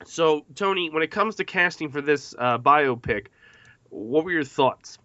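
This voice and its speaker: A man's voice: on the high side, expressive, a little slow, pushed and bright, no echo, highs cut off. A man hosting a casual film discussion, partly reading from notes in a jokey, self-mocking way. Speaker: podcast host.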